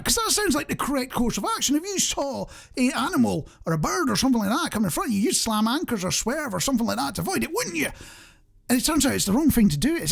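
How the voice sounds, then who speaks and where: A man's voice: very high voice, vocal fry, husky. A man with a British accent presenting a radio show in a casual, rambling, conversational and self-deprecating way.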